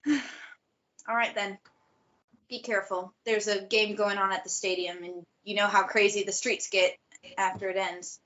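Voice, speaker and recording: A woman reading the part of a caring friend in a table read of a stage play, gentle and concerned. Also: mid-range voice, little pitch movement, unhurried, natural voice. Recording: dull lo-fi recording.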